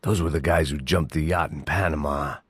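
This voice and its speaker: An actor playing a weary hard-boiled ex-cop, narrating in cynical, sardonic noir voice-over. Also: male voice, very deep voice, steady tone, a little fast, noisy background. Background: music.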